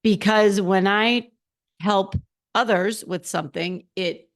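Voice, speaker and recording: A middle-aged woman hosting a casual solo podcast, a little hoarse, chatty and animated, talking straight to her listeners as a coach. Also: medium pitch, slow.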